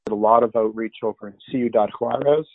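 A man's voice: lowish voice, steady tone, fast, creaky, dry recording. A middle-aged man giving a conversational testimony as an interview guest, earnest and rambling with restarts. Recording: narrow phone-line sound.

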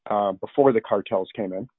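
A middle-aged man tells his story in an earnest, rambling way, often restarting his sentences. His voice sits on the low side and sounds dry and close. The sound is narrow and tinny, like a phone line. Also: slightly rough, no room sound. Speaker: interview guest. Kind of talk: conversational testimony.

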